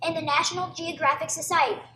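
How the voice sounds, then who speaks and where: A woman's voice: very high voice, steady tone, quick pace, sharp tone. A woman playing a plucky, earnest twelve-year-old boy in a radio play. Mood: cocky, cheerful. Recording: echoey, distant mic, faint noise.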